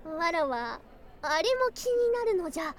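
A woman voicing a doting, excitable young lamia bride in an ASMR voice drama, speaking in archaic, old-fashioned phrases with girlish excitement. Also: very high voice, normal speed, slight background noise. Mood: playful, curious. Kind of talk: ASMR situation voice drama, addressed to the listener.

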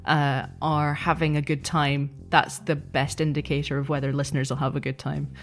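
Woman, deep-pitched, steady unvaried intonation, conversational interview guest, explanatory, thoughtful. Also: moderate pace. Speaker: podcast guest, game master.

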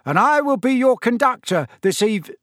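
A man's voice: sits high, very animated, measured pace, no echo, clean studio sound, voice pushed up, exaggerated voice. A man voicing a brash, nosy teenage bus conductor with cheeky, slangy speech in a narrated storybook. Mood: loud, officious. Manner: cheeky, slangy, dropped sounds and double negatives.

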